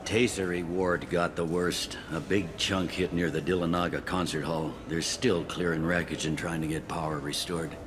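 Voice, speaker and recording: A man's voice: sits low, flat delivery, normal speed, few pauses, a crowd in the background, close to an everyday voice. A man playing a wry, pragmatic police captain on a space station in a video game. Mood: matter-of-fact. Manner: wry, blunt, matter-of-fact. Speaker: security captain character. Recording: clearly audible ambient noise.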